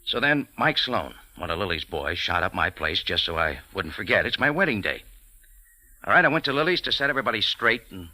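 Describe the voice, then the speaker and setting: An actor playing a wry, hardboiled American café owner in a 1940s radio drama, speaking laconically. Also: male voice, low-pitched, very animated, fairly quick, bright, forceful tone, heavily acted, low-quality recording. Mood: explaining. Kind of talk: 1940s radio drama acting with first-person narration. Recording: old radio recording with organ music.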